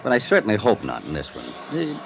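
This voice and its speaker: A man's voice: lowish voice, huge pitch swings, fast talker, creaky, exaggerated voice. An actor playing a worried middle-aged male businessman in a 1950s radio drama, earnest and hesitant. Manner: earnest, hesitant, stammers when unsure. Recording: dull old radio transcription with hiss.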